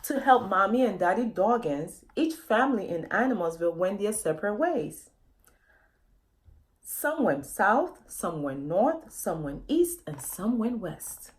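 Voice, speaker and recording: A woman's voice: mid-range voice, sing-song, a little slow. A woman author reading a children's story aloud, expressively, often addressing young listeners directly with questions.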